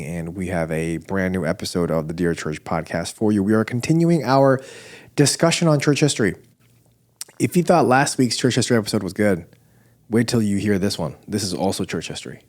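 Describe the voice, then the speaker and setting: A man's voice: deep voice, brisk, darkish tone. Nasal-voiced man hosting a church podcast. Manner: joking, self-deprecating, rambling with restarts. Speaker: podcast host. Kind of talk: casual chat.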